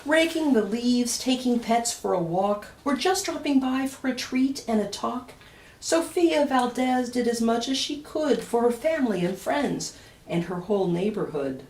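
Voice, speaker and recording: Woman, children's storybook reading, higher-pitched, unhurried, dramatic pauses, distant echoey recording. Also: lively intonation, a little mellow. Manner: dramatic emphasis with deliberate pauses. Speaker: children's author reading a picture book. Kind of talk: expressive storytime read-aloud of rhyming verse. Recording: roomy echo, distant mic.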